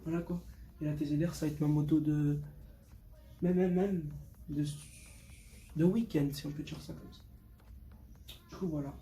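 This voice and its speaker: A man's voice: fairly high pitch, steady tone, moderate pace, long pauses, warm tone, soft background music, rough recording. A fifteen-year-old boy from Portugal chatting casually to viewers in a vlog, slangy and repeating words for emphasis. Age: 15, teenager. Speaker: vlogger showing motorbike. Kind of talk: casual unscripted vlog talk. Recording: clear background noise.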